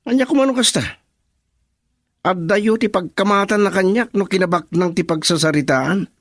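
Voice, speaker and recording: A man's voice: high-pitched, moderate pace, no room sound, studio quality. A man acting a lovesick, jealous young suitor in an Ilocano radio drama. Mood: jealous, bitter.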